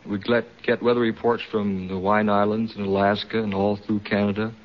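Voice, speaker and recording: A man from Seattle giving polite, halting answers as a contestant on an old radio show. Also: lowish voice, little pitch movement. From Seattle, United States. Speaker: contestant, weather forecaster. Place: radio studio with audience. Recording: dull old radio recording, faint audience.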